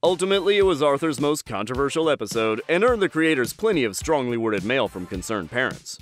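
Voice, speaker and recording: A man's voice: medium pitch, big pitch swings, brisk, faint music behind. Wry male countdown narrator. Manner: wry, dry asides.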